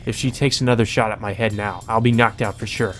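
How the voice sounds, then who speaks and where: A man's voice: on the low side, fairly even intonation, fast talker, a bit bright, soft background music. A man reading visual novel narration aloud as a let's play narrator, in a dramatic storytelling manner.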